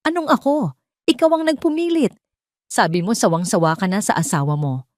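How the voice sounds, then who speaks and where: A woman voicing an indignant young mistress who sounds defensive and shrill in an audio drama. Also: sing-song, normal speed, no room sound, studio quality, natural voice.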